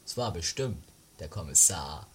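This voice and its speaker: A woman's voice: rumbling low, lively intonation, normal speed. A woman playing an indignant, accusing murder suspect in an amateur comedy radio play, with a melodramatic, grumbling manner. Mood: conspiratorial. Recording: noisy 1980s amateur radio recording.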